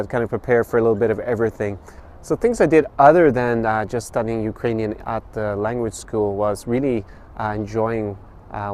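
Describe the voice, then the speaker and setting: A man's voice: fairly low pitch, darkish tone, a little hiss or hum. A man in his thirties vlogging to camera outdoors in a city, giving a casual spoken review with many fillers and restarts. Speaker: travel and language vlogger. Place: outdoors in a city street by a statue.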